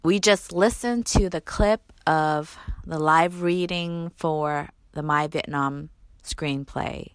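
A woman co-hosting a podcast, introducing recorded clips in a measured way. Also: deep voice, slight background noise. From United States. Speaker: podcast co-host.

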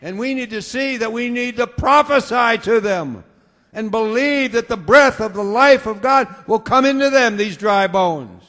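A man from the United States preaching a sermon, swinging between quiet talk and loud bursts of fervor with repeated hallelujahs. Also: very high voice, a little slow, long reverb tail. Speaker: pastor.

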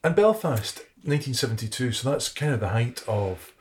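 Animated, joking male interviewer. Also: mid-range voice, moderate pace, slight background noise. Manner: joking, self-deprecating, interjecting. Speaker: interviewer, podcast host. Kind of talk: casual chat interview. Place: indoors at home.

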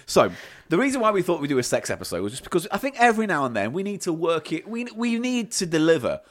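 A man's voice: on the high side, huge pitch swings, quick pace. A middle-aged man, a little tipsy, co-hosting a bawdy podcast chat in a giggly, crude, teasing way. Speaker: podcast co-host.

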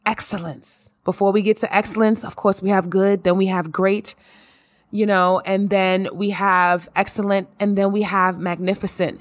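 A married woman hosting her own podcast and talking straight to her listeners in an emphatic, expressive way, repeating lines to drive them home. Her voice sits at an ordinary pitch for a woman. The recording is dry and close, with no room sound. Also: no room sound. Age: adult, married. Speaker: podcast host. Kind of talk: casual monologue.

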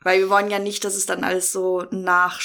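A woman's voice: medium pitch, completely flat delivery, a little fast, vocal fry, pushed and bright. A woman talking casually in an interview about her acting work. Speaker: podcast actress.

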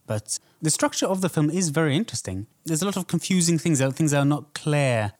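A man's voice: a little high, lively intonation, quick pace, a little mellow. A man co-hosting a podcast, chatting casually and appreciatively about film in loosely phrased sentences. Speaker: podcast co-host.

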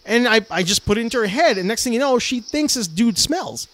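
A young man chatting casually on a podcast, telling a long story with frequent laughs and lively retellings. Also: very high-pitched, a little fast, a little hiss or hum. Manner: rambling storyteller, laughs often, animated retellings. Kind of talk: casual chat.

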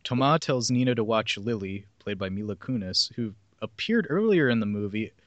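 A man's voice: on the low side, expressive, normal speed, no echo. A man hosting a podcast, reading a plot summary aloud with casual asides. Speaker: podcast host reading film synopses.

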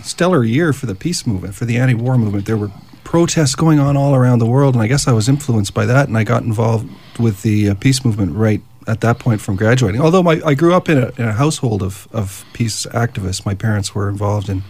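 A man's voice: medium pitch, fast, a little mellow. A middle-aged man from Canada, a guest on a radio talk show, talking about his work in a wry, easygoing way. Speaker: guest activist. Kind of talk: radio interview. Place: radio studio.

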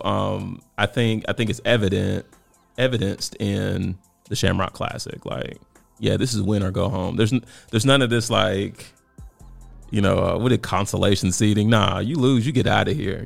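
A man, hoarse and raspy from coaching, chatting casually as a podcast co-host and laughing often. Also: lowish voice, fairly even intonation, moderate pace, faint music behind. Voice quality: raspy, worn voice. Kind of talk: casual chat.

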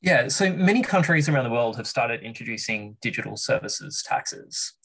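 Australian man in his thirties, podcast guest; measured explainer, slightly gravelly and husky. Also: medium pitch, lively intonation, fairly bright tone. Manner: measured, explanatory, with frequent ums and uhs. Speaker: research fellow, podcast guest. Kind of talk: policy podcast interview.

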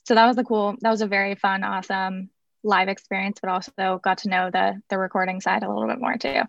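A raspy-voiced young woman from San Francisco, chatting as a guest in a radio interview with plenty of ums and restarts. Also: mid-range voice, steady tone, fast talker, no echo, lo-fi sound. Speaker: guest, music marketing head. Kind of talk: casual interview chat.

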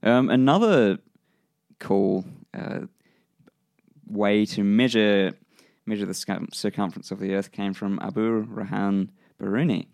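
Gravelly New Zealand man, dry sound. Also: lowish voice, unhurried, no room sound. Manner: relaxed explainer with dry humor, frequent ums. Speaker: podcast co-host. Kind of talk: casual science chat.

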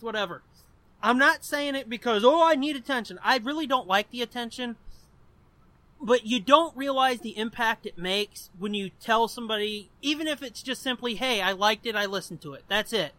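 A man's voice: extremely high pitch, pushed and bright, dry recording. A man co-hosting a podcast in casual chat, speaking informally and swearing freely. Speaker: podcast co-host.

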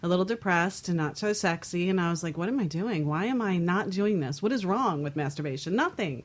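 Frank, slightly low-voiced woman. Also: expressive, a little fast. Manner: blunt, outspoken. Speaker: talk show panelist. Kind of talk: candid conversational talk.

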